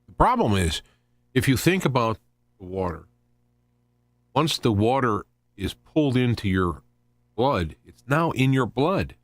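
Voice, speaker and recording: A man hosting an informal live radio show, explaining things in a rambling, halting monologue with repeated word starts. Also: medium pitch, very animated, dry recording. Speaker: radio host.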